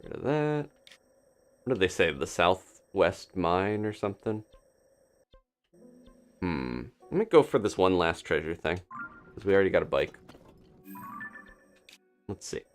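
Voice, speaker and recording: A man's voice: deep voice, sing-song, measured pace, pauses often, soft background music. A man doing casual live commentary on a video game as he plays, thinking aloud. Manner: thinking aloud, talking to himself and his game character.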